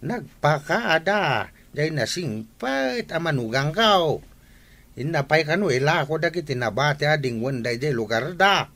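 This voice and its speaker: Slow, swooping, folksy village uncle. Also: male voice, fairly high pitch, expressive, fairly bright tone, full character voice. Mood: chatty. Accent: Ilocano. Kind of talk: Ilocano radio comedy drama acting.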